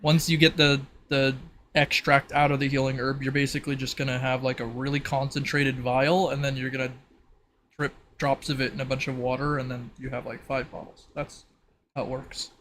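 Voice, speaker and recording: A man's voice: mid-range voice, flat delivery, moderate pace, a bit bright, slight background noise. A man casually explaining game rules in an informal, rambling way, as if thinking aloud. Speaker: tabletop roleplay participant explaining game rules.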